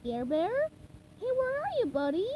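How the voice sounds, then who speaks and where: A woman doing a shrill, frantic cartoon sponge boy. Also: normal speed, a little hiss or hum, full character voice, low-quality recording. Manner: shrill, excitable, high-pitched yelling. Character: frantic, cheerful young male sea sponge.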